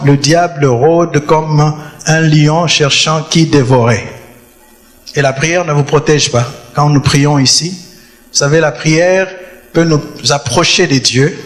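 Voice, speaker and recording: A man's voice: a little high, slow, a bit bright. A man preaching a sermon in a church, stressing his points and repeating key phrases. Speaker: pastor. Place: church hall. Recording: long hall reverb.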